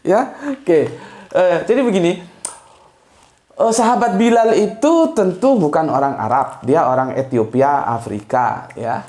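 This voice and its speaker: A man's voice: a little high, lively intonation, measured pace, a little mellow. A man giving a casual religious talk, explaining things in a digressive way, chuckling now and then and tagging his lines with little fillers. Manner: digressive, explanatory, tags lines with "ya" and "gitu loh". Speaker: Islamic speaker. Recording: roomy echo.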